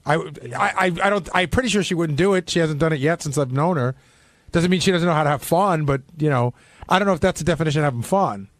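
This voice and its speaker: A man's voice: a little high, fast, dry recording. A man bantering casually on a radio panel, with a blunt, teasing manner. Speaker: radio show regular.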